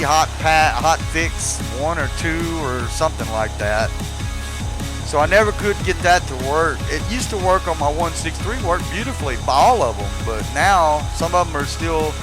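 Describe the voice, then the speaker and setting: A man hosts a casual game tutorial in a somewhat high voice. He takes his time, wandering and hesitating as he thinks out loud. Loud music plays behind him. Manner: rambling, hesitant, thinking aloud. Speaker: gaming video host.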